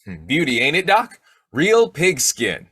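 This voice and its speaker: A man playing a blustery, boyish, quick-tempered bumbling husband in old-time radio comedy, with a folksy, slangy, argumentative way of talking. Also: a little high, huge pitch swings, pushed and bright, husky, dry recording, full character voice. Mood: teasing.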